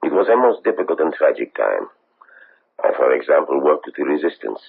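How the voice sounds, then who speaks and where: An actor playing an insistent middle-aged investigator, formal and halting, in a radio mystery. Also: male voice, a bass voice, sing-song, full character voice. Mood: quietly menacing. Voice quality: gravelly, husky. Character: insistent middle-aged European male investigator, coolly courteous and menacing. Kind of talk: 1970s radio mystery acting. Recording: tinny, band-limited old radio broadcast.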